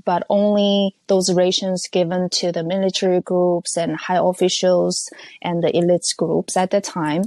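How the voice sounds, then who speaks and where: A woman in her thirties from North Korea, speaking English with a North Korean accent as a second language after Korean, recounting her life as an interview guest over the phone in a measured, careful way with frequent pauses and fillers. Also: fairly low pitch, level tone, darkish tone, no echo. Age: 30s. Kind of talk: personal testimony interview. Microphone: phone call.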